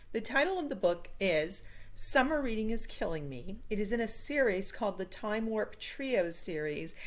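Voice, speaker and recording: A woman reading a children's chapter book aloud in an expressive teacher's style. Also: mid-range voice.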